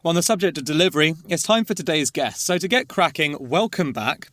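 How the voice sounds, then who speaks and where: A man hosting a podcast, reading a polished intro and then asking playful, teasing quick-fire questions. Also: fairly high pitch, lively intonation, fairly bright tone, no echo, clean studio sound. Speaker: podcast host. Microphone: studio mic.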